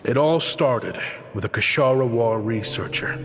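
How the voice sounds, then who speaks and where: A man's voice: mid-range voice, expressive, slow, fairly bright tone. A man playing an eloquent, composed fugitive mastermind in a video game, delivering a formal, theatrical monologue. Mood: resigned. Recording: long reverberant echo effect. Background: faint music.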